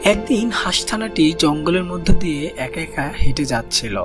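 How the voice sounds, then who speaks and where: A man's voice: a little high, lively intonation, normal speed. Man narrating a children's cartoon over music. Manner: gentle, storybook telling, addresses children as friends. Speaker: storyteller. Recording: voice-over with loud background music.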